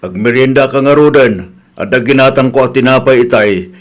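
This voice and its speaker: Fatherly, gently admonishing middle-aged Filipino dad played by an actor. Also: mid-range voice, normal speed, lightly acted. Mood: caring. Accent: Ilocano. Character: caring, fair-minded old village father. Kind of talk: Ilocano radio drama acting.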